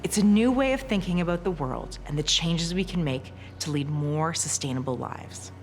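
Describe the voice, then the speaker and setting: A woman's voice: sits low, animated, moderate pace. A woman narrating a commercial in a polished, scripted voice-over. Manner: polished, smooth delivery. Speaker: advertisement narrator. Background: soft music.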